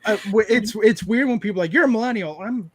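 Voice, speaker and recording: A man's voice: high voice, quick pace, a little hiss or hum. A man in his thirties hosting a casual livestream, rambling and joking while he reads out chat messages. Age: 30s. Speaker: livestream host.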